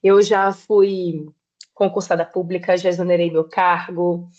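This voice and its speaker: A woman in her thirties from southeastern Brazil, a podcast guest explaining her work in a measured way. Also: lowish voice, level tone, darkish tone, dull audio. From Espírito Santo, Brazil. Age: 30s. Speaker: guest, personal trainer. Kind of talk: podcast interview.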